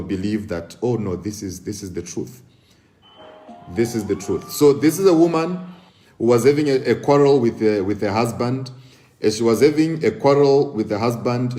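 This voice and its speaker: A man giving live commentary on church videos, halting often and repeating words with many fillers. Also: lowish voice, dark tone.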